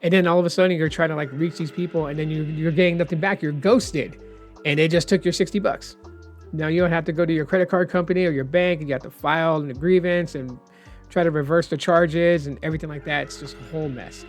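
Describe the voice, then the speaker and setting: A man explaining phone unlock rules in a casual, rambling vlog, hoarse as he says he is losing his voice. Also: on the high side, fairly even intonation, fast, soft background music. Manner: chatty, rambling, opinionated. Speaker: tech vlogger.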